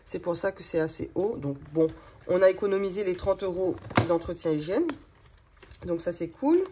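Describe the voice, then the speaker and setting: Chatty woman in her thirties, rather low. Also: lively intonation, normal speed, noisy background. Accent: regional French accent. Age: 30s. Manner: chatty, rambling, many fillers and restarts, laughs often. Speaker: budgeting vlogger. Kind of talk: casual chat while doing a cash-envelope budget.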